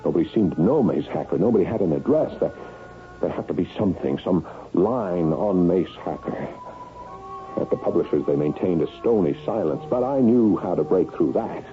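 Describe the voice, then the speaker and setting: An actor playing an anxious, desperate husband in a radio drama, with a dramatic, sometimes stammering delivery. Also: male voice, low-pitched, expressive, moderate pace, soft background music. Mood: grim, determined. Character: desperate, anxious husband recounting his own murder mystery. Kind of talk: 1970s radio drama acting with first-person narration. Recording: phone-like, band-limited old radio recording.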